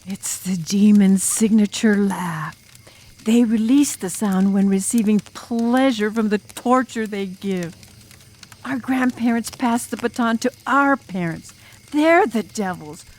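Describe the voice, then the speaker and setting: A woman's voice: mid-range voice, darkish tone. A woman playing an intense, enigmatic girl drum major who tells a scary tale dramatically in an audiobook. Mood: grave. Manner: dramatic, ominous, deliberate.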